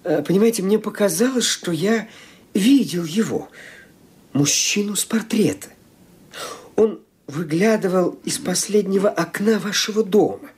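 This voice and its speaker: An actor playing a hesitant young nephew who halts and fumbles for words in a radio play. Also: male voice, sits high, darkish tone, breathy edge, heavily acted. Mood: sheepish. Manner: hesitant, halting, full of fillers. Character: awkward young nephew explaining himself to his aunt.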